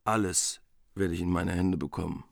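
An actor playing an arrogant, boastful young warrior hero in a German radio drama. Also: male voice, deep voice, fairly even intonation, moderate pace, vocal fry, background noise. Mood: boastful, menacing. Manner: boastful, provocative.

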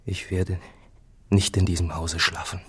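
Deep, husky, brooding farmer. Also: male voice, level tone, fairly bright tone, heavily acted. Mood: grave. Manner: grave, deliberate, poetic. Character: solemn, brooding, wealthy lone farmer. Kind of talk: literary radio drama acting.

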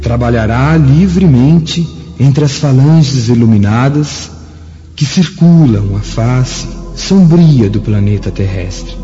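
A man's voice: mid-range voice, animated, slow pace, dark tone. An actor playing a benevolent, grave angelic emissary in a radio drama, with solemn, lofty, fatherly delivery. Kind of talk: dramatic radio play acting. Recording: muffled, long cavernous reverb, faint music.

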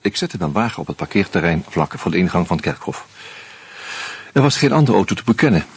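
An actor playing a wry, uneasy screenwriter who narrates a radio play in a measured, reflective way with hesitant fillers. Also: male voice, sits low, big pitch swings, faint music behind. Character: wry, uneasy male screenwriter caught up in murders.

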